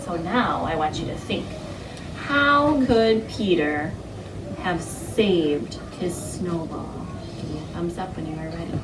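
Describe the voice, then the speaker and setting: A woman's voice: fairly low pitch, takes it slowly. Lady teacher talking to little kids, lots of simple questions, far from the mic in a noisy room. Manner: simple instructional phrasing with frequent questions and prompts. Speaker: early-childhood teacher. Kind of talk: interactive read-aloud lesson for young children. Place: indoor classroom with carpet. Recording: echoey, noisy room, distant microphone.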